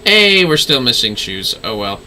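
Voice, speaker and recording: A man's voice: medium pitch, very animated, moderate pace, pushed and bright, a little hiss or hum, poor audio. A man livestreaming a game with casual, playful commentary, often talking to himself and muttering skill names.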